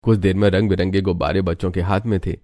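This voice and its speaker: Male cartoon narrator, scripted storytelling, lowish pitch, warm mellow tone, music behind. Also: flat delivery, fast.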